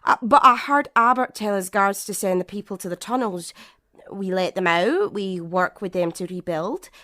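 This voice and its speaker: A woman's voice: medium pitch, normal speed, bright, forceful tone, no room sound, clean studio sound, close to an everyday voice. A woman playing a practical young apocalypse survivor in an audio drama, matter-of-fact in manner. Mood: practical, sober.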